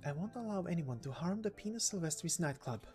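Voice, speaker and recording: A reserved young archer girl from a game story, played by a woman, low in voice. She is loyal but terse, speaking bluntly or haltingly over music. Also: animated, warm tone, close to an everyday voice. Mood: firm.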